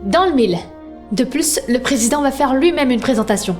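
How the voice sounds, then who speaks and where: A woman plays the assured young leader of a band of thieves in an audio drama. Her voice is on the high side and directive, with music clearly behind it. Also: animated, fairly quick, darkish tone. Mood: commanding. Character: confident young female leader of a thief crew. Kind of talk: French audio drama acting.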